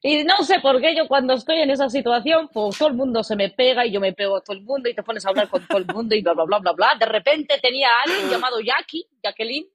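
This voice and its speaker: Woman podcast co-host, casual raconteur, slightly high pitch. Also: fast talker, hardly any pauses, dry recording. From Morocco. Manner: lively anecdotal storyteller, switches between Spanish and English. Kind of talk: casual storytelling chat.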